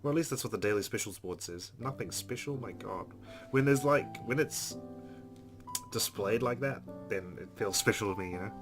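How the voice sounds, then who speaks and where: Low-voiced man reading game text aloud. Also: animated, moderate pace, music in the background. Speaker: let's play streamer. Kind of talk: reading visual novel narration aloud, with casual asides.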